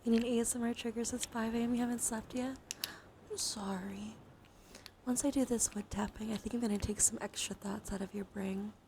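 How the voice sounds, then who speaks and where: A woman's voice: a little high, fairly even intonation, brisk, dark tone. Whispering ASMR woman, noisy room. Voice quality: breathy whispering. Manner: soothing, encouraging, intimate. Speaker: live ASMR streamer. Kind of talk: ASMR, whispered and softly spoken reassurance. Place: small warm room with computers running. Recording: noisy room ambience behind voice.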